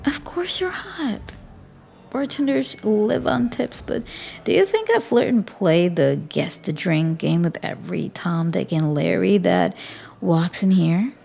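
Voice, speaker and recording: Woman doing a flirty bartender roleplay, slow, gravelly, half whispered, teasing. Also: medium pitch, lively intonation. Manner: soft, breathy, flirty and teasing, often near a whisper. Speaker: audio roleplay performer.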